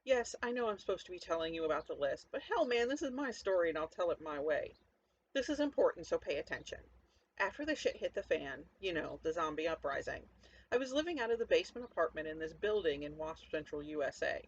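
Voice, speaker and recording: A hard-bitten, sardonic survivor of a zombie apocalypse as a story narrator plays the part tells their tale directly to the listener. The delivery is conversational, cynical and defiant, in a middling pitch. The recording is muffled and lo-fi, with faint noise behind the voice. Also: sing-song, fast. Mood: cocky, defiant. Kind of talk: first-person dramatic story narration. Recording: dull lo-fi audio, faint background noise.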